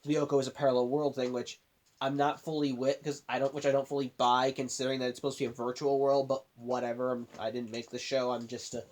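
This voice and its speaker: A man's voice: mid-range voice, flat delivery, fast talker, darkish tone. A man with a cold and a nasal voice, casually recapping a cartoon episode for his vlog, rambling with frequent restarts and self-corrections. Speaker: show reviewer.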